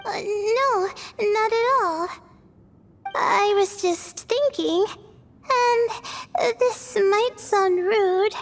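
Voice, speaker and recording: Anxious young female student for a game: drowsy slow pace, soft self-doubt, long reverb. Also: very high-pitched, exaggerated voice. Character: timid, anxious, sleepy teenage girl student, modest. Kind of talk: English game dub voice acting.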